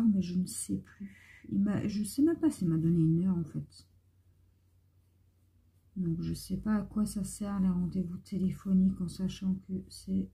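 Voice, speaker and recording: Slow, husky, hoarse woman vlogger. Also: mid-range voice, warm tone. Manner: rambling, meandering talk. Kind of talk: casual chat to viewers. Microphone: phone.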